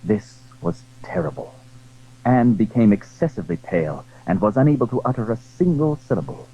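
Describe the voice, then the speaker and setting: A man's voice: mid-range voice, animated, normal speed, mellow. A man narrating a literary audio drama in a formal, dramatic storytelling style. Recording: muffled, narrow-band 1980s audio drama recording.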